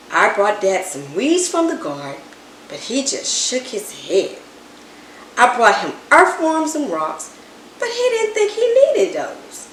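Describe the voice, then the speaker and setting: A woman reading a children's story aloud in an expressive, dramatic way, with emphatic words and hushed asides. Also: a little high. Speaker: storybook reader. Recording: roomy echo, distant mic, background noise.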